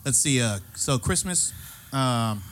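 A man's voice: mid-range voice, lively intonation, takes it slowly, a bit bright, a little hiss or hum. A man in his thirties co-hosting a casual podcast, rambling and swearing with plenty of fillers. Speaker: podcast co-host.

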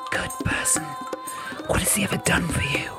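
A reader voicing an eerie, ancient female rock being in a slow, breathy, unvoiced whisper, solemn and disdainful, for an audiobook story. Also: over music, lightly acted. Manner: slow, solemn, drawn-out delivery.

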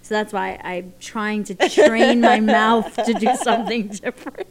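Philly-accented woman co-host, rambly, laughs a lot, mid-pitched voice. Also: steady tone, measured pace, over a noisy crowd. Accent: Philadelphia. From Philadelphia. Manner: chatty, laughs often, rambling restarts. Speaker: talk show co-host. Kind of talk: casual chat.

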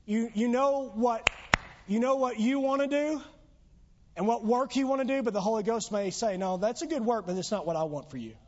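Hoarse high-voiced man preaching. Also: normal speed, darkish tone. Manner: earnest preaching, rising emphatic exhortations. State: losing his voice. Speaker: pastor. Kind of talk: sermon. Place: church sanctuary. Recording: dull lo-fi church recording.

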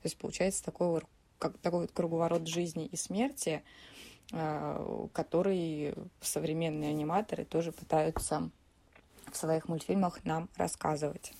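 A woman's voice: low voice, steady tone, normal speed, creaky, dark tone. A woman giving an explanatory overview as a podcast contributor, recounting and commenting as she goes. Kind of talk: explanatory film-history overview.

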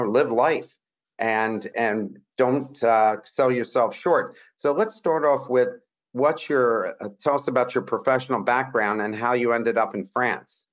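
A man's voice: medium pitch, highs cut off. A man hosting a business interview show, enthusiastic and a bit hesitant, with fillers. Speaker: podcast host. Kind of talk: interview host chat.

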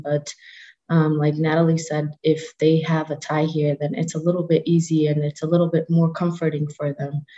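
A woman's voice: sits low, dark tone, muffled recording. A woman from Afghanistan who grew up in the US, speaking earnestly in an interview with many you-knows. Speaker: refugee agency staff member. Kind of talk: news interview.

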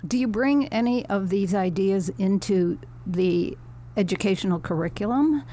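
A woman hosting a podcast, asking interview questions in a measured, inquisitive way. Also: mid-range voice, expressive, warm tone. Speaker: podcast host.

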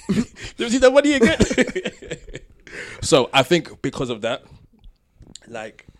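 A man's voice: high-pitched, wildly swooping pitch, slow. A man hosting a podcast, chatting casually and telling stories in a jokey way. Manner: jokey storytelling, laughs often. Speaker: podcast host.

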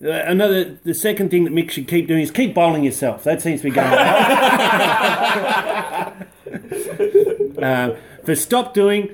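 Aussie guy, slightly high voice, dry offhand remarks on a podcast. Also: expressive, slow, pauses often, heavy background noise. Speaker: podcast co-host.

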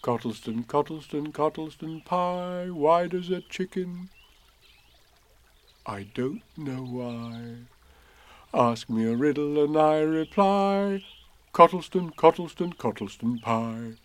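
A man's voice: on the high side, animated, measured pace, hardly any pauses, darkish tone, pitched above the performer's own voice. A man voicing a friendly, simple-minded little bear from a children's storybook, with an innocent, earnest, singsong delivery.

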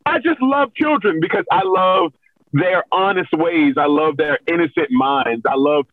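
A man's voice: a little high, unhurried, no room sound. A middle-aged American man talking candidly and casually in an interview, with self-deprecating humour. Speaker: host of a children's story reading show, being interviewed. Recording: thin, phone-like call audio.

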